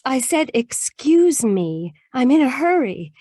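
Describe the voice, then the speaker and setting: Haughty, guarded noir young lady, high voice, formal diction, close dry recording. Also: big pitch swings, takes it slowly, dark tone, no room sound, full character voice. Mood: indignant. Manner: indignant, formal phrasing. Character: tall young woman, haughty, nervous and guarded. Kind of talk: noir audio drama acting.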